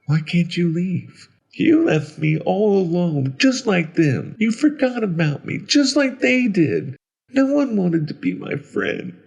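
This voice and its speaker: A man's voice: sits high, big pitch swings, slow pace, creaky, darkish tone, husky, pitched above the performer's own voice, full character voice. A man voicing the ghost of a lonely, abandoned little boy in a horror story, plaintive and pleading. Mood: tearful, pitiful.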